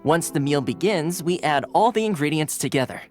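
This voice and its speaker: A man's voice: on the high side, lively intonation, a little fast, close to an everyday voice. An actor playing a cheerful young male retainer in a video game, easygoing and polite, with a playfully boastful streak. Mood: amiable. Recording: game audio with soft music behind.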